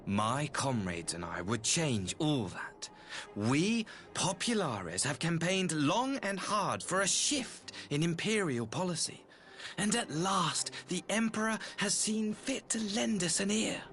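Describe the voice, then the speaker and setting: Smooth imperial ambassador, lilting expressive delivery, formal archaic phrasing, game drama, music underneath. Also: male voice, on the high side, slow, heavily acted. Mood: solemn, persuasive. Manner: formal, eloquent, theatrical, archaic diction. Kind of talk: scripted video game voice acting. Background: background music.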